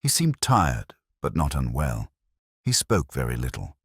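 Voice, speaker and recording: Man reading as a guarded, deep-voiced Victorian lady. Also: huge pitch swings, a little slow, slightly rough, no room sound, clean studio sound, full character voice. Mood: guarded, composed. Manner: guarded, formal, evasive. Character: guarded, composed upper-class Victorian woman, the niece. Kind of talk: audiobook character dialogue.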